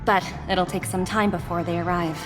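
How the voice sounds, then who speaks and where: A woman's voice: fairly low pitch, fairly even intonation, normal speed, over music, close to an everyday voice. An actress playing a poised, shrewd young businesswoman in a game, polished and businesslike. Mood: composed, shrewd. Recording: long hall reverb.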